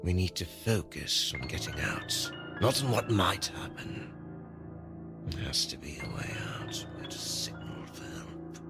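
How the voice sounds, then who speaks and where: A man's voice: low-pitched, very animated, a little slow, over loud music, exaggerated voice. A man playing a curt, secretive maintenance worker trapped in an elevator, speaking in a terse, guarded way in an audio drama. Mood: grim, urgent. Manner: terse, weighted, guarded delivery.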